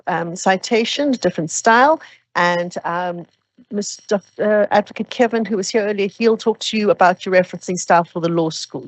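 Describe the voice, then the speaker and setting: A woman explaining academic procedures to new students at an orientation, steady and practical with some fillers. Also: mid-range voice, no room sound, poor audio. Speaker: orientation presenter.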